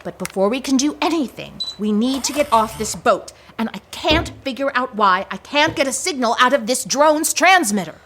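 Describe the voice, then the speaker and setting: A woman's voice: high-pitched, animated, moderate pace, few pauses, a bit bright, a little hiss or hum. A woman playing a stern, resolute spy section chief with formal, precise diction in an audio drama. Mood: firm, resolute.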